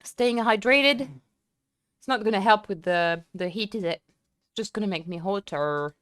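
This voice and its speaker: A young woman streamer chatting casually and excitedly with viewers, full of fillers and restarts. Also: medium pitch, measured pace, clear, resonant tone, no room sound, studio quality. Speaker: sim racing streamer. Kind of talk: casual live-stream chat.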